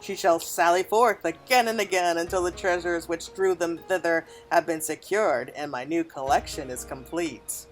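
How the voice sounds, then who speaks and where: Woman reading as a florid, self-satisfied gentleman merchant. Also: lowish voice, moderate pace, few pauses, lightly acted. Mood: brisk, boastful. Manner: florid, archaic, theatrical storytelling diction. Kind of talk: reading game cutscene dialogue aloud. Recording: game music behind the voice.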